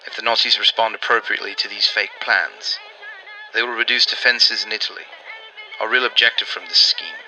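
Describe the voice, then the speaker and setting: A man performs a persuasive wartime intelligence operative in radio-drama style. He explains things earnestly and deliberately in a slightly low voice with an extremely crisp, forceful edge. Music plays faintly behind him. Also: steady tone, rough recording. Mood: explanatory. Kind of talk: 1940s radio drama acting.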